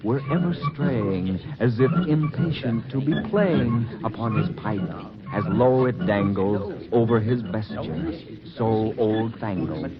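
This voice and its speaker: A man narrating a poem in a slow, expressive, rhythmic way, on a dull 1940s radio broadcast. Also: lowish voice, loud music behind the voice. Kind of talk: dramatic poetry narration.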